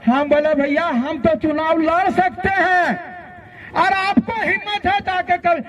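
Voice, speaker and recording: A man's voice: very high-pitched, fairly even intonation, a little slow, muffled recording. A man with a Jharkhand accent gives a colloquial, impassioned political speech to students, addressing them directly as a student leader. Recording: noisy outdoor rally, loud crowd behind.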